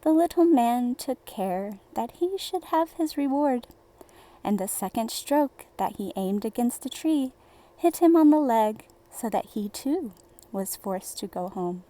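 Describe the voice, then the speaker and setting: A woman narrates a children's fairy tale in a fairly high, mellow voice. She takes her time, shaping each phrase with deliberate storybook expression. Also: animated, poor audio. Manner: slow, deliberate, theatrical storybook delivery.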